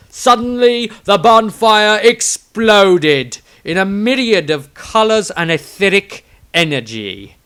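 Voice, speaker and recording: A man reading a fantasy story as a dramatic audiobook narrator, with theatrical, deliberate emphasis. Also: high voice, fairly bright tone, clear, resonant tone.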